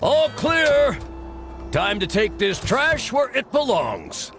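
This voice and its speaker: A zealous male cartoon cop for a video game, blustering and officious. He barks in a high, gravelly voice that swings widely in pitch. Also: wildly swooping pitch, slow pace, music in the background, exaggerated voice. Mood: brisk. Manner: barking, officious. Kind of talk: animated video game acting.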